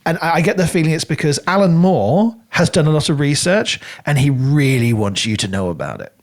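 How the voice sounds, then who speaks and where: A man co-hosting a podcast, talking through a book in a casual, animated and rambling way. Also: fairly high pitch, brisk. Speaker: podcast co-host reviewing a book.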